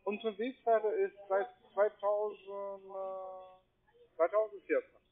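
A non-native man with a foreign accent doing persuasive sales talk over the phone, insistent, repetitive and halting in his phrasing. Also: high-pitched, level tone, crowd noise. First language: not German. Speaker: call center agent selling trading courses. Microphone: telephone. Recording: tinny, band-limited phone line.

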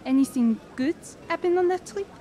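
A caring young woman in a radio drama. Her voice is high, and she is gentle yet persistent, often questioning with concern. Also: expressive, fairly quick, soft background music. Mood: curious, concerned. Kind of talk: audio drama acting.

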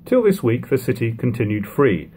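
A man in his thirties reading a classic novel aloud at home, in a measured, steady way, letting sentence ends fall quiet. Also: mid-range voice, slight background noise. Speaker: audiobook reader. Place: living room at home.

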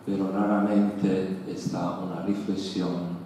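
A middle-aged man whose first language is Italian gives a lecture in halting Spanish from a panel table, with many fillers and restarts. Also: sits low, flat delivery, mellow. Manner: hesitant, many fillers and self-corrections. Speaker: guest lecturer. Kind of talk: academic lecture. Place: large lecture hall, seated at a panel table. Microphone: table microphone. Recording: roomy hall echo, distant mic, faint hiss.

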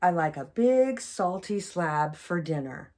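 A woman voicing an excitable, melodramatic young crocodile from a children's picture book. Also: on the low side, slow pace. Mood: delighted. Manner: dramatic, exclamatory, melodramatic. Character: excitable, panicky young crocodile who loves watermelon.

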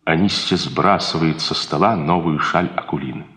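A man's voice: very deep voice, normal speed, pushed and bright. A man giving scripted narration of the action in a radio play. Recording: long reverb, 1950s radio recording.

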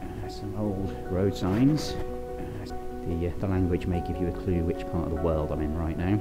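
Brief: male explorer-vlogger, thirties, deep, dry humour, music bed. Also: steady tone, moderate pace. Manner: wry, chatty, marvels at decay. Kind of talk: on-location exploring commentary and voice-over narration. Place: outdoors and in derelict sheds.

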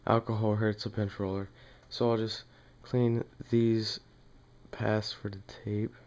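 A man giving a casual hands-on tutorial at home, talking plainly and haltingly as he works. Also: lowish voice, level tone, darkish tone. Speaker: demonstrator in a home how-to video. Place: small dim room indoors at home.

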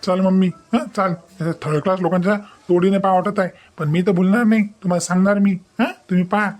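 A man's voice: high-pitched, steady tone, fairly quick, darkish tone, lightly acted. A man playing a furious jilted young groom in a cartoon family drama, threatening and repeating himself in a Varhadi Marathi accent. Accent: Varhadi Marathi, Vidarbha. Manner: threatening, repetitive, scolding.

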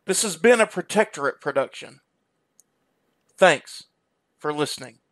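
A man's voice: fairly high pitch, animated, a little slow, a bit bright. A man reading scripted credits as an announcer.

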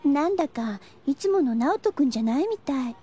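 An actress playing a sweet, innocent high school girl with a gentle, girlish manner in a Japanese audio drama. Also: animated, a little fast. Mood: puzzled.

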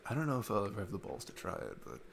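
Low, gravelly, rambling young dad played by a man. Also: background noise, heavily acted. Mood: cheerful. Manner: rambling, halting, self-deprecating, breaks into chuckles. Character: awkward, chatty, self-deprecating young single dad on a date. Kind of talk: ASMR boyfriend-style roleplay, casual improvised-sounding chat. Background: background music.

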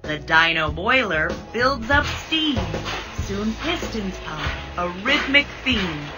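A woman giving a measured, expressive scripted narration of a rhyming children's book. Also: medium pitch. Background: music.

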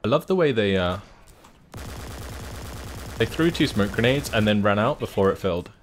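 A man's voice: on the low side, lively intonation, normal speed, slight background noise. A man playing alongside a friend in a shooter, laid-back and laughing easily as he talks. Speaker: teammate in a game. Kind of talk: casual gameplay chat. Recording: faint game gunfire behind.

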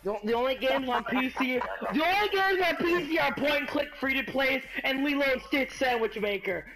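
A man's voice: very high voice, expressive, moderate pace. A young man making a prank phone call, taunting and swearing in a crude way. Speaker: prank caller. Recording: music laid over the voice.

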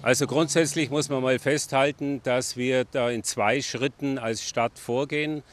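A man's voice: mid-range voice, steady tone, a little slow. A middle-aged man, a city official, giving a formal, structured interview outdoors into a handheld mic. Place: outdoors by a stream. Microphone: handheld reporter mic.

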